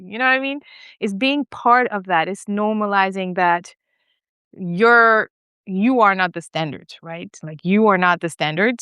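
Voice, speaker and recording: A woman in her thirties from Senegal co-hosts a podcast, talking in an animated, chatty way with plenty of fillers and restarts. Her voice is smooth and clear, recorded close and dry with no room sound. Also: mid-range voice, dry recording. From West Africa, Senegal. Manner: animated, lots of fillers and restarts, laughs often. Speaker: podcast co-host. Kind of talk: casual podcast conversation.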